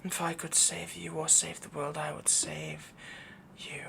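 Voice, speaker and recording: A possessive, lovestruck werewolf boyfriend, acted by a man for intimate roleplay audio. He murmurs slowly and evenly in a soft, breathy whisper that breaks into gravelly fry. He sounds dominant yet adoring, with clear noise behind the voice. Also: fairly high pitch, completely flat delivery. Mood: devoted, possessive.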